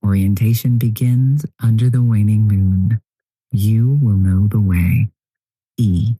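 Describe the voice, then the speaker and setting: A man's voice: lowish voice, steady tone, slow pace, warm tone, husky, dry recording. A man playing a formal, mysterious academy letter writer, reading solemnly in an audio story.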